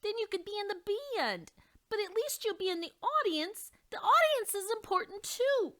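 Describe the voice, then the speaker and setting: A high, chipper young boy mouse, as a woman reading a storybook puts him on. He sounds bright and upbeat, his pitch climbing as he talks. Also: very animated, normal speed, heavily acted. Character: cheerful young male mouse in the band.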